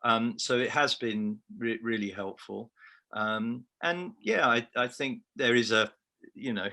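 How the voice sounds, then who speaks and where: A man's voice: medium pitch, measured pace, sharp tone. A man with a Liverpool accent, an award-winning researcher, giving a reflective, self-deprecating answer in a remote interview. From Liverpool, England.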